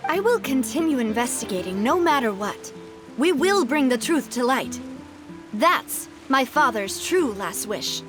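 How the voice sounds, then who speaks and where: A woman's voice: high voice, very animated, a little slow, music in the background. A woman playing a proud, determined young noblewoman in a video game, with pointed, polished, theatrical phrasing. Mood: resolute.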